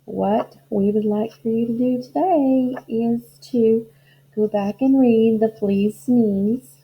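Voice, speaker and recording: Slow-paced woman reading to children. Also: fairly high pitch. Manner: slow, simple, deliberate phrasing addressed to children. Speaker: elementary school teacher. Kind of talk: children's storybook read-aloud and instructions. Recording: dull lo-fi audio with faint noise.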